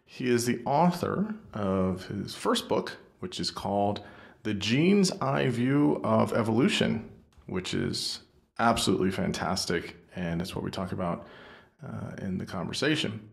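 Podcast host guy, lowish gravelly voice, enthusiastic, lots of uhs. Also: expressive, a little slow. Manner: enthusiastic, praising, many fillers and restarts. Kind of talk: podcast introduction and interview.

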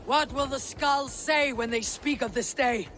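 A woman voicing a fierce, vengeful Viking warrior leader in a video game, with a gravelly voice and solemn, declamatory delivery. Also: measured pace, fairly bright tone, slight background noise. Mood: rousing. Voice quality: gravelly, low-toned delivery. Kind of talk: dramatic video game acting.

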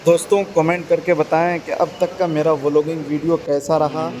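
A man's voice: on the high side, flat delivery, moderate pace. A young man filming a casual walking vlog on a phone held at arm's length, pointing things out to his viewers as he moves through a mall and onto a metro. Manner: talks to viewers while walking, repeats "ye dekhiye". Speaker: vlogger. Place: large shopping mall, indoors, then street and metro. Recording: loud background noise from mall and traffic.

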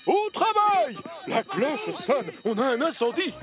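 A man's voice: very high voice, very animated, takes it slowly, full character voice. A man voicing a proud, bossy railway controller in a children's cartoon, theatrical and emphatic. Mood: urgent. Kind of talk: animated children's series dubbing.

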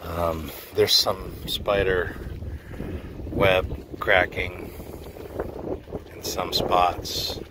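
A very low-voiced man ambles through a casual boat tour, talking slowly and thinking aloud. He is recorded outdoors on a camera mic, with clear wind and water noise behind him. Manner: relaxed, thinking aloud, trailing off. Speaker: boat owner touring a yacht. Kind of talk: casual walkthrough commentary. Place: outdoors on a docked boat in a marina.

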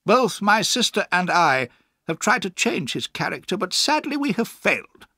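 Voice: mid-range voice, expressive, normal speed, a bit bright, no room sound, voice pushed up. A severe, self-righteous stepfather from a classic storybook, as a narrator plays him. The man speaks formally and with controlled menace. His phrases are strongly inflected and recorded very dry. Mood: cold, accusing. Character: cold, stern, self-righteous villainous stepfather.

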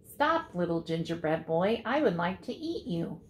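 A woman's voice: on the low side, sing-song, a little slow, a little hiss or hum. A woman voicing a hungry female cow in a children's storybook, calling out and savoring her words.

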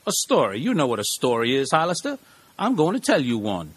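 A man performs a middle-aged small-town lawyer for an audio drama. The voice is husky and swings widely in pitch. He is genial and eccentric, taking his time like a folksy storyteller. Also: wildly swooping pitch. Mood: folksy storytelling. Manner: folksy, rambling, deliberate storyteller.

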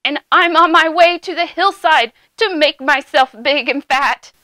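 A woman's voice: high-pitched, measured pace, sharp tone, no room sound, voice pushed up. A woman voicing the middle billy goat brother in a children's storybook, earnest, anxious and pleading.

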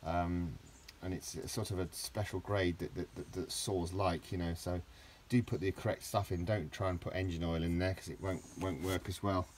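A middle-aged man with a low voice, rambling through a casual hands-on garden demonstration with lots of restarts. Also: fairly even intonation, normal speed, few pauses, slight background noise. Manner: rambling, with many restarts and fillers. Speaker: DIY vlogger. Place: outdoors in a garden.

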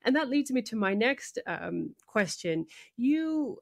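A woman's voice: on the high side, big pitch swings, slow pace, creaky, dry recording, clean studio sound. A woman hosting a conversational radio interview, affirming her guest and often checking in as she goes.

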